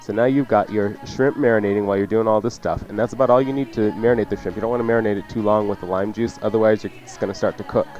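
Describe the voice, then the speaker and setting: Cooking show host, male, low register, chatty and jokey, unscripted. Dull recording with music underneath. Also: steady tone, fast, few pauses, a little mellow. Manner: chatty, joking asides. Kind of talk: casual unscripted radio cooking instruction.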